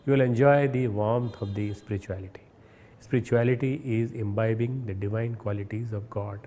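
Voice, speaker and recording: A man with an Indian accent giving a recorded spiritual message, earnest and instructive, often pausing and restarting words. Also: fairly low pitch, steady tone, mellow, a little hiss or hum. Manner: hesitant, repeats and restarts words. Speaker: spiritual leader.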